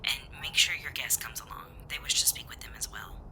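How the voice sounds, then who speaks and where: A man puts on a weary front desk clerk for an audio roleplay. The voice is breathy and whispered throughout. The manner is prim and formal, with a dry, dismissive edge. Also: medium pitch, brisk, pushed and bright, voice pushed up. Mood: dry, impatient. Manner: formal, dryly dismissive, hesitant uhs. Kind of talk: ASMR roleplay voice acting.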